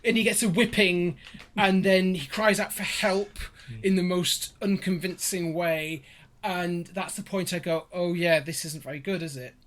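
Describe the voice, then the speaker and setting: A man's voice: high voice, fairly even intonation, a little slow, fairly bright tone. A man speaking as a podcast guest in casual conversation, explaining things in detail. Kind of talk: casual chat.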